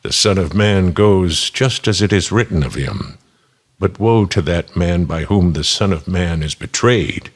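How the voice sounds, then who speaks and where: A man with a husky, gravelly voice giving a solemn, measured dramatic reading of scripture as a voice actor. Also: sits low.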